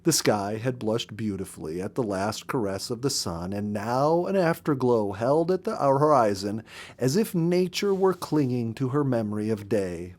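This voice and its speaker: A man with a medium-pitched voice reads a book aloud in an audiobook style. He takes his time, shaping phrases dramatically and leaving pauses between them. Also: expressive, a little mellow. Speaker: storyteller reading a book aloud. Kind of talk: expressive narrated audiobook reading.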